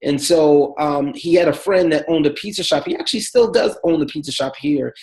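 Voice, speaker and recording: A man tells his story as a pastor, loose and conversational. His voice sits a little higher than most men's. He keeps it easygoing, with plenty of ums and little laughs. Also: fairly quick. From the Bronx, New York City. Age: 40s. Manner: relaxed and chatty, many fillers, laughs between thoughts. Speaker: pastor, guest. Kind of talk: casual personal storytelling.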